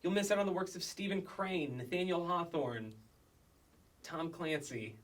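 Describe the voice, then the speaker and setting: A man's voice: high voice, lively intonation, a little slow. Scolding, exasperated kindergarten teacher, male actor, formal and dry, staged comedy reading, mic at a distance. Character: exasperated, strict kindergarten teacher, Miss Della.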